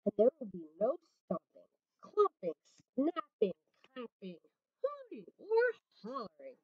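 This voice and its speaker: Woman doing a stern fussy farmer, emphatic scolding, lively pitch, dull lo-fi sound. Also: measured pace, breathy edge, no room sound, full character voice. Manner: emphatic, scolding lists of rules. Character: fussy strict middle-aged male farmer. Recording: dull lo-fi dry recording.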